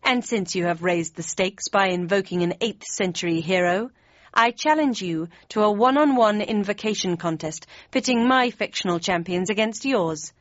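A woman's voice: mid-range voice, moderate pace, no echo, highs cut off, lightly acted. Woman voicing a smug, sardonic storybook villain. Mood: coolly confident. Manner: sardonic, formal, clipped. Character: sardonic, impatient, smug male villain challenger. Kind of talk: audiobook character voice.

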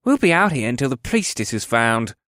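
A man's voice: mid-range voice, sing-song, fairly quick, pushed and bright, dry recording, pitched above the performer's own voice. A cocky elf soldier, acted by a male narrator sneers and grumbles in a raised voice. He is young and crude, a fantasy storybook grunt, recorded close and dry. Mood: grumbling.

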